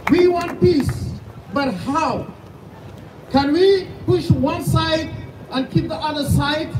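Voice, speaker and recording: A man in his thirties with a Sudanese accent, a pastor giving a fervent rally speech full of rhetorical questions and sometimes shouting. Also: very high-pitched, slow, crowd noise. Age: 30s. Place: outdoor rally. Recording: distant, echoey outdoor sound with loud background noise.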